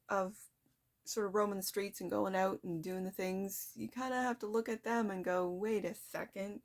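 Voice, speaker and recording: Soft-spoken woman giving a chatty tarot reading. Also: mid-range voice. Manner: chatty, reflective, addresses the viewer directly. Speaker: tarot reader. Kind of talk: casual tarot reading to viewers.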